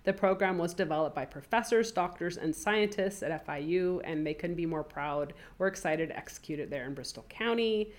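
Low-voiced woman podcast host with a cold. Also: fairly quick, hardly any pauses. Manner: chatty, reads news items aloud with asides. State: has a cold, voice deeper than usual. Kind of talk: casual podcast chat, reading news.

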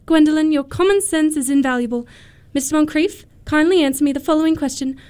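Prim romantic young girl , played by an actress, very high voice, sweet and earnest, period radio comedy. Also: fast, darkish tone, natural voice. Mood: earnest, smitten. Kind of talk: staged radio comedy of manners.

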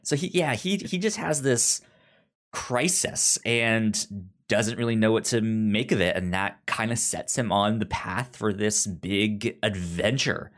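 A man's voice: on the low side, a little slow, fairly bright tone. Stammering man hosting a casual podcast. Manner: stammering, often repeats syllables and words. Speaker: podcast co-host. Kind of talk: casual book review chat.

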